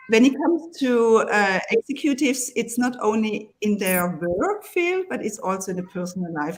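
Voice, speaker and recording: Woman, German accent, coach on a video call, hesitant, restarts sentences, mid pitch. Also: low-quality recording. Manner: hesitant, restarts, laughs often. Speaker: coach and guest. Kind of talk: live online panel talk.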